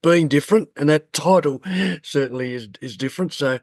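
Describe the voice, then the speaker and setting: A man hosting a podcast interview, friendly and halting, with many fillers. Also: fairly high pitch, a little mellow, no room sound, clean studio sound. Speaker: podcast host. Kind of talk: casual interview chat.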